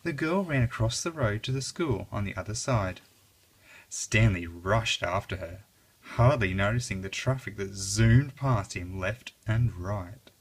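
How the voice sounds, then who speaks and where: A reader narrating a children's story aloud in an expressive, dramatic way. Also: sits low, a little slow, breathy edge.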